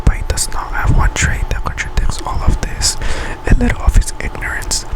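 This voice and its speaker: Male ASMR host, whispered throughout, breathy and unvoiced, reading facts with halting, self-correcting delivery. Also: poor audio. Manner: halting delivery, stumbles and repeats words while reading. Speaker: ASMR video creator and host.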